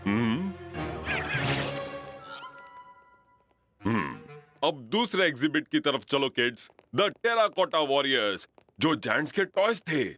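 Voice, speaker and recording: A man's voice: medium pitch, sing-song, slow, fairly bright tone, soft background music. A man in a Hindi cartoon dub playing a gullible, theatrical museum tour guide, earnest and dramatic. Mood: lecturing. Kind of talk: Hindi-dubbed cartoon acting.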